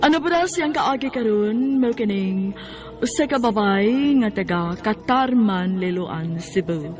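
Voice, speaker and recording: A woman's voice: medium pitch, lively intonation, measured pace, music in the background. A woman with a Cebuano Filipino accent announcing a radio drama in a scripted, dramatic style. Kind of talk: scripted dramatic program introduction.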